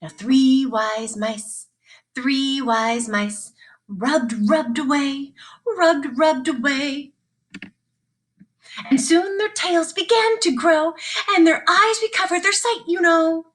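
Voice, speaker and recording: A woman teacher giving a sing-song read-along of a children's story, with deliberate, repeated phrasing. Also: sits high, fairly bright tone. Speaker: teacher reading aloud.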